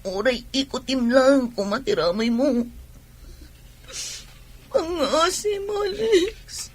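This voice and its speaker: A woman playing a distraught, pregnant young wife in a radio drama, speaking slowly and plaintively. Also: high-pitched, lively intonation, exaggerated voice. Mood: tearful pleading. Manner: slow, plaintive delivery. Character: distraught pregnant young wife grieving over injured husband.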